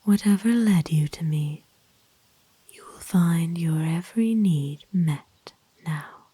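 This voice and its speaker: A woman voicing a kind, doting aristocratic vampire in an ASMR roleplay, speaking formally and tenderly in a soft, breathy whisper. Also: on the low side, no room sound, full character voice. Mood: tender, reassuring. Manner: formal, genteel, tender, unhurried. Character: kind, doting aristocratic female vampire owner, gently possessive.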